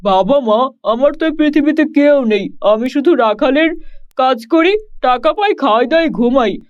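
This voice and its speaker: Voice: high voice, lively intonation, measured pace, dull audio. An actor voicing a humble, simple young cowherd boy in a children's cartoon, speaking in a plain, folksy manner. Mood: hesitant, humble.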